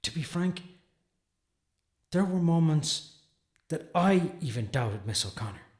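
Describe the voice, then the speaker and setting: A man's voice: a little high, sing-song, a little slow, roomy sound. A man playing an earnest, protective defense attorney in an audio drama. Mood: reflective, impassioned. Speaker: actor playing a defense lawyer. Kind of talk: dramatic courtroom monologue and dialogue.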